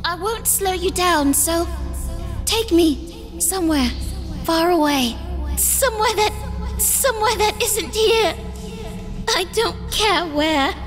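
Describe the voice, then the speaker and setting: A woman's voice: very high voice, expressive, takes it slowly, pauses often, in a large hall, exaggerated voice. A woman voicing a distressed young village girl in a manga dub, with hesitant, pleading delivery. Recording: music under the voice.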